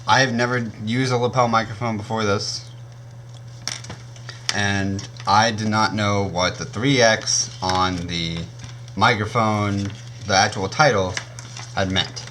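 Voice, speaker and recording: A young man with a naturally deep voice, casually reviewing gear on his channel and often stopping to correct himself. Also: steady tone, slow, pushed and bright, slight background noise. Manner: rambling, self-correcting, frequent um and uh.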